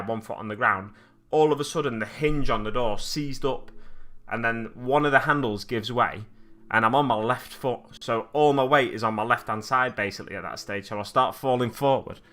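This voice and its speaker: A man's voice: medium pitch, pushed and bright. A man with a slightly scratchy voice, rambling through stories and chatting with viewers as he hosts a livestream from his hobby desk at home. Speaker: streamer host. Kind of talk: casual livestream chat while painting miniatures. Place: home hobby desk with a glass cabinet behind.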